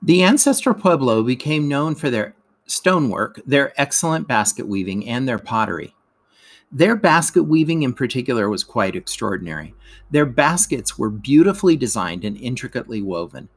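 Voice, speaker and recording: A man reads educational narration aloud like a teacher, in measured, careful phrases with emphasis at sentence openings. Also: mid-range voice, big pitch swings. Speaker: teacher reading a lesson aloud.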